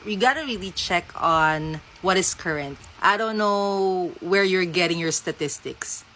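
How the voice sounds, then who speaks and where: A woman's voice: fairly low pitch, measured pace, a bit bright, slight background noise, poor audio. A woman in a clip firing challenging questions at a critic. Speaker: clip speaker.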